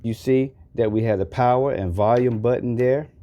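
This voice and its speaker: A man's voice: medium pitch, moderate pace, dark tone. A man giving a casual, folksy product walkthrough as a reviewer in an unboxing video.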